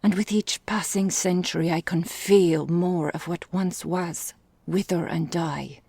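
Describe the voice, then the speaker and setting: A woman playing an ancient, elegant vampire mistress in an ASMR roleplay, speaking formally and deliberately in a breathy, gravelly, whispery voice. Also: lowish voice, fairly even intonation, mellow, no room sound, exaggerated voice. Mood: brooding, haunted. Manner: slow, formal, theatrical, deliberate.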